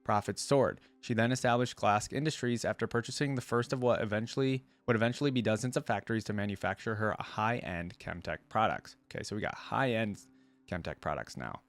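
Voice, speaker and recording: A man's voice: fairly low pitch, brisk, dry recording. A man hosting a reaction video, reading lore aloud and musing over it in a casual, conversational way.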